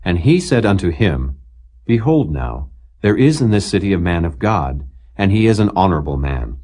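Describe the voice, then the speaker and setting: A man with a very low, husky voice reads old King James scripture as a narrator. His delivery is solemn and measured, set out phrase by phrase. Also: sing-song, mellow. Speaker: Bible narrator.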